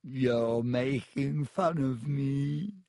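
A man voicing the sulky, weepy ghost of a teenage girl in an audiobook, with a wounded, drawn-out wail. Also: medium pitch, a little mellow, no echo. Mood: suspicious, hurt.

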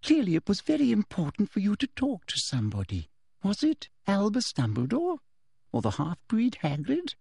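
A prim, sweetly cruel witch professor, as a male reader puts her on, soft and quietly menacing. Her voice rises well above his own and lilts as she talks down to her captives. The sound is close and dry. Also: high voice, huge pitch swings, moderate pace, gravelly, husky, no room sound, voice pushed up, exaggerated voice. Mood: smug.

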